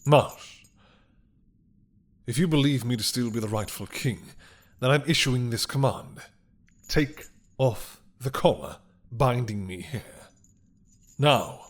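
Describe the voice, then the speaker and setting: A man playing a possessive, doting mad dragon king in a romantic roleplay drama, with a husky, breathy voice that often drops to a whisper and a regal, seductive manner. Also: mid-range voice. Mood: commanding. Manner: slow, regal, seductive purring menace.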